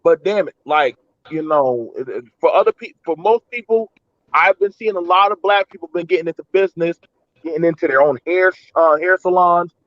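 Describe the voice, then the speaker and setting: A man with a high voice argues his point on a livestream panel. He often repeats and restarts his words, and his audio sounds dry and dull. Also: a little fast, no echo. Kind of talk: casual debate chat.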